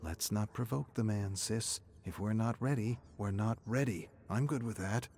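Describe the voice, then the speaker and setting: A man's voice: lowish voice, normal speed, a little mellow, breathy edge. A man voicing an easygoing but nervous young stepbrother in an audiobook story, casual and placating. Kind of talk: audiobook character acting.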